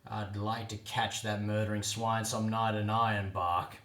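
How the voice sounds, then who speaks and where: A man voicing a hot-tempered, rough bushman from the outback in a comic ballad, loud and blustering. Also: on the low side, level tone, a little slow, echoey room, distant mic, voice pushed down. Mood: indignant. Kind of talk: comic ballad character acting.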